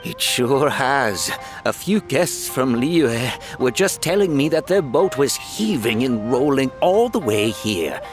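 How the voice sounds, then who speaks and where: A man's voice: medium pitch, sing-song, slow, few pauses, music in the background. A man voicing a courteous, obliging restaurant server in a video game, polite and helpful in manner.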